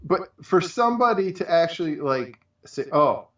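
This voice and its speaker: A man's voice: fairly high pitch, lively intonation, normal speed. A man co-hosting a casual chat, talking in a rambling, colloquial way and piling up examples. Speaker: show co-host. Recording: muffled, echoey, distant from mic.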